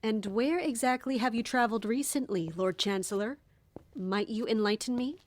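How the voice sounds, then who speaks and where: A woman's voice: on the high side, lightly acted. A woman playing a resolute, guarded guard captain, speaking formally and narrating in a fantasy audio drama. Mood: polite, guarded. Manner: formal, measured, commanding.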